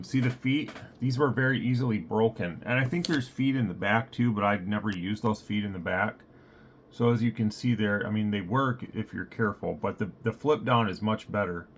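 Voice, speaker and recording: Male product reviewer, lowish voice, casual and rambling as he thinks out loud; phone recording with clear background noise. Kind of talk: casual unboxing review, talking through thoughts. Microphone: phone, handheld.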